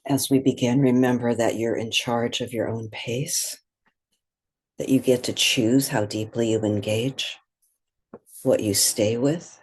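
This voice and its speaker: A meditation guide speaking gently and in a measured way, leaving long pauses between phrases. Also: medium pitch, fairly even intonation, breathy edge, poor audio. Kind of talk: guided meditation, soothing spoken instruction.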